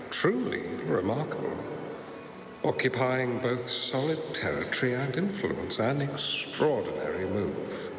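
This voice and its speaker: An actor playing a courteous, reflective old master strategist in a video game, with a gravelly voice and slow, solemn, formal speech. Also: male voice, on the low side, full character voice. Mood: admiring, gracious. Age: older. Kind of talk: dramatic video game voice acting. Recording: reverberant, echoing game voice processing.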